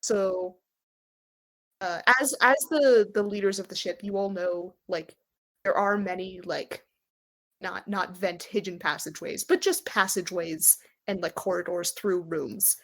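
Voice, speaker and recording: A woman's voice: lowish voice, steady tone, a little slow. Conversational woman running a tabletop game. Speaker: game master. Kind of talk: narrating and refereeing a tabletop game, unscripted.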